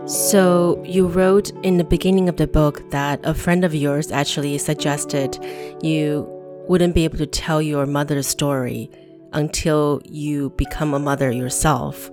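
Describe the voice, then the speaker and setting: A woman hosting a podcast, asking a guest careful, measured questions. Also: deep voice, fairly even intonation, music in the background. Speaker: podcast host, interviewer. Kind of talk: interview questions.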